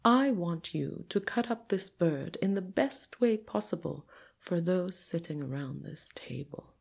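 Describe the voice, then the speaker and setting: A woman's voice: lowish voice, lively intonation, a little slow, creaky, breathy edge, exaggerated voice. A woman voicing an imperious, easily angered male king in a folktale, with a commanding, blunt manner.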